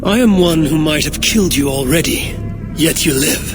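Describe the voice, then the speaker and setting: An actor playing a calm, blunt Norse warrior negotiating with a king in a game cutscene. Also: on the high side, normal speed, close to an everyday voice. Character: steady Norse warrior envoy, adult man. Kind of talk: video game cutscene acting. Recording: game audio under loud music.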